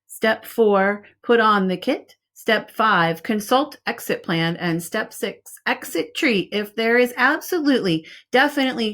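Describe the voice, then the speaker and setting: A woman reading a picture book aloud like a preschool teacher, expressive and speaking directly to young listeners with casual asides. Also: medium pitch.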